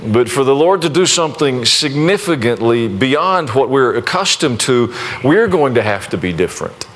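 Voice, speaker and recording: Folksy pastor with restarts. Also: male voice, medium pitch, sing-song, moderate pace, a bit bright, a little hiss or hum. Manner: conversational, folksy, self-deprecating with many restarts. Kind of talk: sermon and prayer to a congregation.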